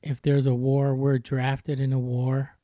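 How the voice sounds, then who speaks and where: A man's voice: mid-range voice, monotone, a little slow, dark tone, dry recording. A man hosting a podcast, chatting casually and easygoingly with many fillers. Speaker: podcast host. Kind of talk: casual chat.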